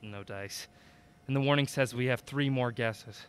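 A man's voice: medium pitch, a little slow, heavy background noise, close to an everyday voice. A man playing a cautious, wry amateur sleuth in a mystery audio drama, questioning and dry. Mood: dry, focused.

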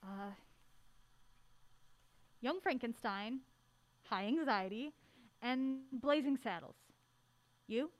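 An actress playing a mild-mannered, witty film buff in an audio drama, with wry, playful banter. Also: female voice, a little high, animated, slow, pauses often. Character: mild-mannered, witty film-buff woman, assistant video store manager.

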